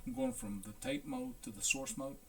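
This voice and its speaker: A man talking through a hands-on equipment check as a repair technician, in a casual, unhurried, halting way, thinking aloud. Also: medium pitch, wildly swooping pitch, creaky, bright, forceful tone. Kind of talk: casual hands-on demonstration narration.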